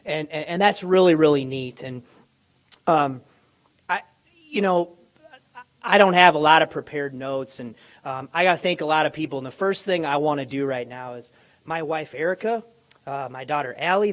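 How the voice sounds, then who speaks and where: A man speaks at a press conference microphone, his voice hoarse and worn from illness. It sits a touch higher than most men's, and he talks with eager, halting energy, often repeating words as he restarts. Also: fairly bright tone. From Minneapolis, USA. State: hoarse, lost voice. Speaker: college basketball coach. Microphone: handheld or podium microphone.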